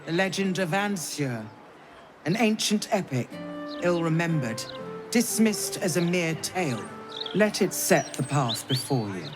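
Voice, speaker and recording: Stern loyal noble lady advisor, fantasy game, talks slow and formal, music playing behind her. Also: lowish voice, lively intonation. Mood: grave, earnest. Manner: solemn, formal, measured delivery. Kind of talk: dramatic video game cutscene acting.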